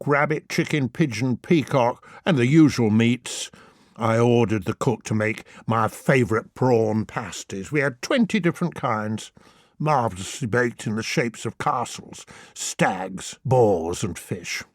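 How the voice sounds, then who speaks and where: A man's voice: mid-range voice, lively intonation, unhurried, no room sound, studio quality. A man playing a gluttonous, self-satisfied Tudor king, reading his diary aloud and savouring every word. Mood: relishing, contented. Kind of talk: dramatised first-person diary reading.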